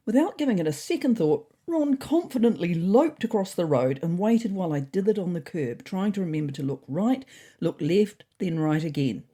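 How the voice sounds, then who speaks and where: A woman's voice: on the low side, expressive, normal speed, mellow, husky. A woman voicing a fussy, anxious male cat who narrates his comic diary in a prim, wordy, faintly indignant way.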